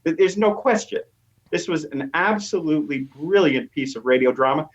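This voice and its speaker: A man's voice: a little high, animated, normal speed, highs cut off. A man speaking as an academic interview guest, making emphatic, declarative points in a conversational way.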